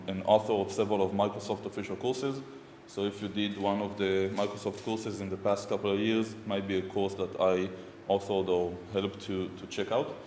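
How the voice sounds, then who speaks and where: Israeli man, thirties, lowish voice, chatty tech speaker, far mic in a reverberant conference room. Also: slight background noise. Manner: informal, chatty, frequent ums and uhs. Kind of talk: technical conference talk. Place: conference room with projector screen. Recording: echoey hall from far off.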